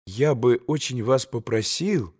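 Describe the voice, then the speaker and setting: A man reading an audiobook and voicing a gentle, modest young man who speaks quietly and mildly. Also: medium pitch, a little slow, slightly rough. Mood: polite, mild. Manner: soft, mild and restrained.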